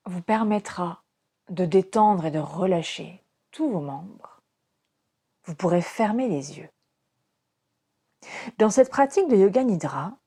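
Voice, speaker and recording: A woman leads a guided meditation in a dark, relaxed tone. Her voice has a breathy, husky edge and moves very slowly. She gives calm, soothing instructions in short phrases, leaving pauses between them. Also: medium pitch. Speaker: yoga nidra teacher.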